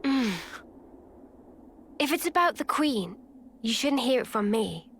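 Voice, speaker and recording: A woman voicing a calm young heroine in a video game, measured and tactful in her delivery. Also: high-pitched, a little hiss or hum, heavily acted. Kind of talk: video game cutscene acting.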